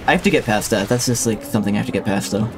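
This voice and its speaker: A man's voice: on the low side, rapid-fire, over music. Rambling man chatting on a game stream. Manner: rambling and reflective, with many restarts. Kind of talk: casual chat while livestreaming a game. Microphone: streaming mic with a limiter.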